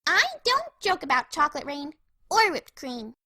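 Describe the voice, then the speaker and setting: Squeaky cartoon pony girl, extremely high, bright, bubbly, playful, exclamatory. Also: sing-song, normal speed, no echo, studio quality, heavily acted. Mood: sweetly demanding. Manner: perky, exclamatory. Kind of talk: fan fiction audio drama.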